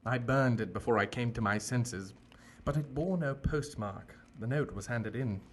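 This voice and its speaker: A man acting a shaken, remorseful gentleman doctor in an audio drama, speaking formally and earnestly. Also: mid-range voice, normal speed, lightly acted. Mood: subdued, defeated.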